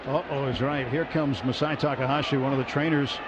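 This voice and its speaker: A man calling baseball play-by-play on the radio, brisk and lifting on big plays. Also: mid-range voice, steady tone. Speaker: play-by-play announcer. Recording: muffled radio broadcast with noise.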